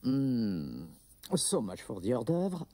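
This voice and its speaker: A man's voice: wildly swooping pitch, slow, very noisy. A man voicing a sardonic, menacing living handbag monster with a growly voice in a cartoon. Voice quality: low, creaky growl. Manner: sneering, threatening.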